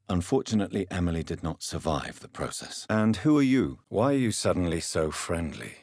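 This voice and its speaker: An actor playing a grave, courteous doctor turned vampire in 1910s London, with a husky voice and formal, measured delivery, in a dramatic video game. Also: male voice, low voice, no echo, studio quality, full character voice. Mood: questioning, serious. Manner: formal, measured, articulate, earnest.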